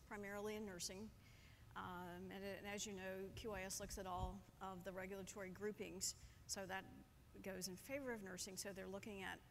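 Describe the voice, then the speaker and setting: A middle-aged woman, speaking as a conference panelist in a measured way with frequent pauses, on a lapel mic. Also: medium pitch, slight background noise. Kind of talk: panel discussion. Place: conference stage.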